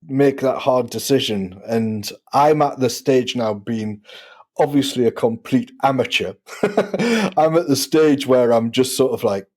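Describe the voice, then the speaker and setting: A man's voice: mid-range voice, expressive, a little slow, a little mellow. A man hosting a podcast in a casual, conversational way, encouraging and enthusiastic toward the guest. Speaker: podcast host.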